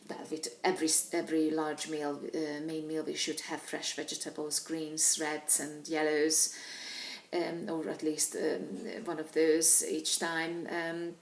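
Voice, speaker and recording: A woman's voice: deep voice, darkish tone. Hesitant Hungarian-born woman coach, echoey room. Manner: hesitant, many fillers and restarts. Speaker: nutrition coach. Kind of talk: informal advice talk to camera.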